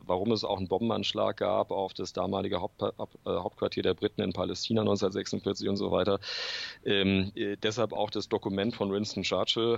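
A man with a cold, answering interview questions about his book in a halting way, clearing his throat, with many fillers and restarts. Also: fairly low pitch, dry recording, muffled recording. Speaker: author guest. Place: room full of books at home.

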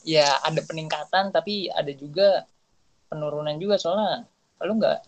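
A man's voice: fairly high pitch, moderate pace. A young man with a Jakarta Indonesian accent, chatting casually and slangily as a podcast co-host. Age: young adult.

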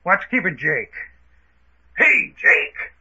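A brisk, wary gangster in charge, speaking high and very sharply. It has the muffled sound of an old radio thriller. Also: male voice, animated, sharp tone, full character voice. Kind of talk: 1940s radio adventure acting.

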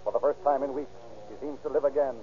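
A man's voice: medium pitch, steady tone, fast, lightly acted. An American man narrating a vintage radio drama in a dramatic, literary style. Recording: muffled old radio recording with music.

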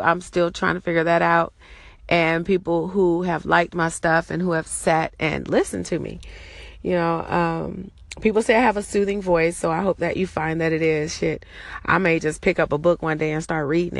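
La lady vlogging from her car, bit of vocal fry, rambly and cussing, lots of ums. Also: on the low side, no room sound. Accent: Los Angeles. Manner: loose and talkative, swears casually, lots of ums. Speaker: vlogger and teacher. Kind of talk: casual chatty vlog. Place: in her car while driving.

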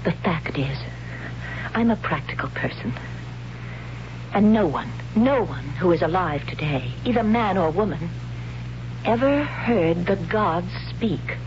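An actress playing a pensive young warrior woman in an old radio drama, with hesitant, drawn-out phrasing. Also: lowish voice, lively intonation. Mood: pensive, wondering. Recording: muffled, noisy old radio broadcast with hum.

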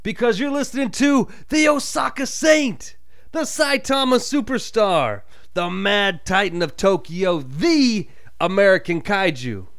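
A man putting on a booming, theatrical wrestling ring announcer voice while stuffy and nasal from a nose swab. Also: animated, clean tone, dry recording, studio quality, full character voice. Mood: hyped. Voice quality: shouting, drawn-out hype delivery.

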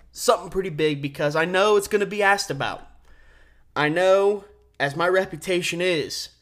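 A man's voice: fairly high pitch, fairly bright tone. A man in his twenties hosting a radio sports show solo, talking in an emphatic, informal, slangy way. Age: 20s. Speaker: sportscast host on campus radio. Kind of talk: sports talk monologue.

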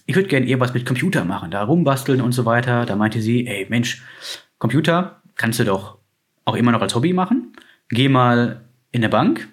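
A chatty, easygoing man talking about money and saving in relaxed, everyday speech. He sounds enthusiastic and keeps the conversation flowing with lots of fillers. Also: medium pitch. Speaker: finance advisor, interviewee. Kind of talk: casual conversation.

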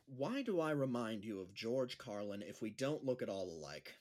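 A man's voice: lowish voice, very animated, moderate pace, a little mellow. An actor playing a nervous, earnest male gym teacher who speaks awkwardly and hesitantly in an audio drama. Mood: puzzled, earnest. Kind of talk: naturalistic audio drama dialogue.

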